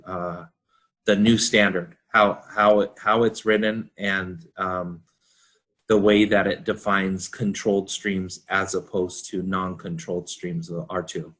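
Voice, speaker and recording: A man explaining technical standards in a panel discussion as a consultant, in a halting, self-correcting way. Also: fairly low pitch, a bit bright.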